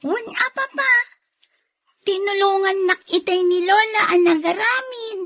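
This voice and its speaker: A sweet, obedient little Ilocano child in a radio drama. The voice is very high and piping, each phrase spoken slowly and carefully. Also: female voice, a bit bright.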